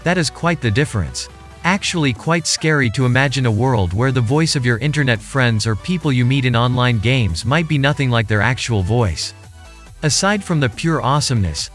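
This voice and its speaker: A man from Germany presenting a video as scripted narration. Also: mid-range voice.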